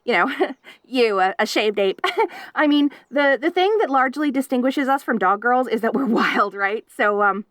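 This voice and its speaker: A woman's voice: a little high, animated, normal speed, a bit bright, dry recording, studio quality. A woman voicing a clingy, obsessive young wolf girl in an audio roleplay, giggling and stammering as she rambles eagerly. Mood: rambling.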